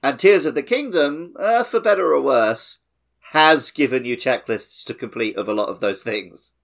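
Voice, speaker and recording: A man's voice: fairly low pitch, gravelly, a bit bright. A man hosting a casual gaming podcast, talking in a jokey way. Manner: jokey, drops film quotes. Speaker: podcast host and editor.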